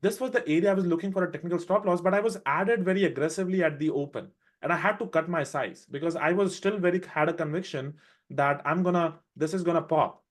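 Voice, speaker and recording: A man with an Indian accent, raised in India, walking through his trades on a live trading show with quick, animated explanations. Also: fairly high pitch. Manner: fast, animated explaining with restarts. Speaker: day trader presenting a trade recap.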